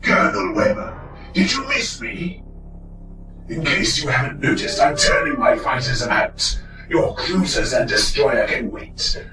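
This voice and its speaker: A man's voice: mid-range voice, big pitch swings, a little slow. A man playing a sadistic, zealous enemy warlord in a sci-fi audio drama, theatrical and taunting, echoey and distant. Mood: gloating. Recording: echoey, distant, music behind.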